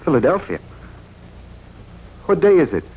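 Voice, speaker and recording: A frightened, confused accident patient in an old radio drama. The voice is fairly high and very slow, swinging widely as he gropes haltingly for words. The recording is muffled and hissy. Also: sing-song. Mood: anxious. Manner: agitated, halting, overwrought delivery. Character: dazed, frightened male accident patient with lost memory. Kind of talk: 1940s radio drama acting.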